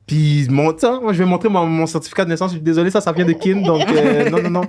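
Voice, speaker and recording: A young man from Congo, raised in Montreal, speaking in an animated, joking casual chat. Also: a little high, fast talker. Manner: animated, joking, mimics voices.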